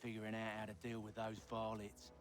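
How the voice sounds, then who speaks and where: A man playing a blunt, cocky rogue with rough ungrammatical speech in a video game. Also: on the low side, completely flat delivery, a little fast, background noise, natural voice. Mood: surly. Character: cocky, surly working-class rogue. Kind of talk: video game character acting.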